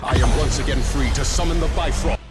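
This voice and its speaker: An actor voicing a solemn, proud gatekeeper of the gods in a video game, formal and stately over dramatic music. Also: male voice, medium pitch, a little fast, natural voice. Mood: resolute.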